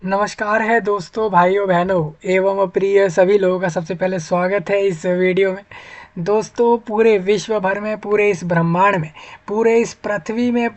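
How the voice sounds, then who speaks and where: A man hosting an informal explainer video, talking viewers through a job notice and repeating himself in long spoken lists. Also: high-pitched. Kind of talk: informal explainer video, screen-share commentary.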